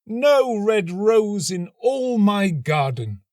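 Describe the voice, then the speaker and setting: A man's voice: high-pitched, very animated, darkish tone, no room sound, clean studio sound, voice pushed up, full character voice. A man voicing a lovelorn young student in a storybook, plaintive and melodramatic, drawing out his romantic despair. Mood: despairing, tearful. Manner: plaintive, melodramatic, drawn-out sighing delivery. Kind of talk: storybook reading.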